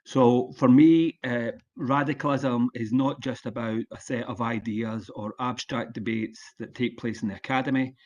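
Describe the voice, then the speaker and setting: A man's voice: medium pitch, moderate pace, few pauses, muffled recording. Scottish man in his sixties lecturing. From Scotland. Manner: relaxed, plain-spoken, with frequent ums and uhs. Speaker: guest lecturer. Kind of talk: online conference lecture. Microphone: video call.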